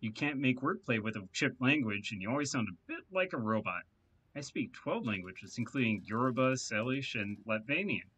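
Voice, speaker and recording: A man's voice: mid-range voice, expressive, moderate pace, fairly bright tone, slightly rough. A man voicing a rambling, talkative male hacker who boasts and amuses himself in a video game read-aloud. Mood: boastful.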